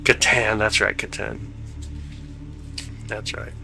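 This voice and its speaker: Congested male stream host, casual and slangy, gravelly, faint music underneath. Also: on the low side, flat delivery, takes it slowly. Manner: laid-back, slangy, chatty. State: stuffed up, congested. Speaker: livestream host of telescope views. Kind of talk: casual chat with live chat viewers.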